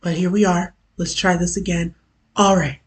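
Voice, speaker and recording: A woman with a hoarse, worn-out voice, casually chatting as host of her own live stream during a work lunch break, with chatty self-deprecating asides. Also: lowish voice, level tone, moderate pace. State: hoarse, lost voice from illness. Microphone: camera mic.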